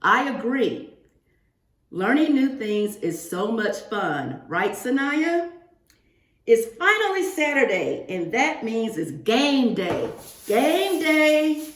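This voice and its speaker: A woman's voice: fairly high pitch, slow pace. Echoey woman reading aloud to children. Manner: expressive, addressing children with questions. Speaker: guest reader for a second-grade class. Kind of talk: read-aloud of a children's picture book with asides to pupils. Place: indoors, reading remotely to a class. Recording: roomy, echoing sound of a virtual class reading.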